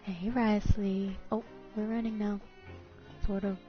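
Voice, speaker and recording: Casting: young female game streamer, mid-pitched, informal, musing, faint music behind. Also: flat delivery, takes it slowly. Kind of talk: casual chat while gaming. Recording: slightly dull stream audio, game music.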